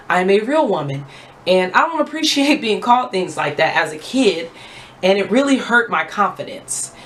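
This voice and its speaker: A young woman with a raspy, low voice chatting heartfelt and casual as a beauty vlogger while doing her skincare at home. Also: slight background noise. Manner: chatty, heartfelt, thanks God often.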